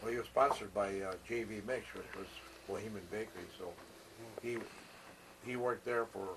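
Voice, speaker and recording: An older man from the United States recalling his family's history in a plain, halting way with many pauses, interviewed at a family gathering. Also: lowish voice, fairly even intonation, background noise.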